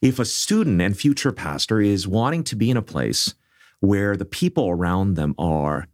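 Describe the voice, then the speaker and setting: Korea-born seminary guy, middle-aged, low deep voice, earnest, restarts a lot. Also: expressive, no echo, studio quality. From South Korea. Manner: earnest, measured, with frequent restarts. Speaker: seminary leader. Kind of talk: conversational interview.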